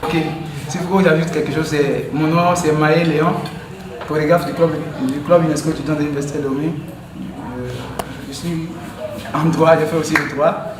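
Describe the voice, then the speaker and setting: A man's voice: on the high side, steady tone, normal speed, a crowd in the background. A man informally introducing himself as a choreographer and dancer. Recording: echoey room.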